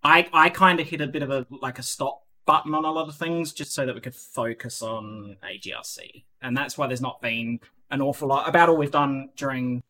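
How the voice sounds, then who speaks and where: A man's voice: mid-range voice, brisk, bright, forceful tone. A man hosting a casual online community podcast, rambling with lots of fillers and restarts. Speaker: podcast host.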